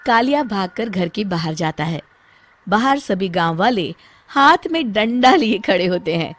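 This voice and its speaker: A woman's voice: medium pitch, animated, unhurried, no echo. A woman reading a scripted storybook tale as its narrator.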